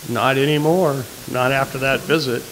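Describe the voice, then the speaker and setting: A man's voice: mid-range voice, steady tone, moderate pace, a bit bright. An older man in the audience putting an insistent question to a candidate at a public forum, speaking into a stand mic in a community hall. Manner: insistent, repeats his point. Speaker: audience member asking a question. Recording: faint hiss in a hall.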